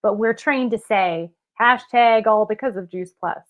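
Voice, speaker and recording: A woman's voice: mid-range voice, unhurried. A woman speaking candidly and self-mockingly in a casual chat, with many fillers. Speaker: guest reacting to her old video. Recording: tinny phone-like call audio.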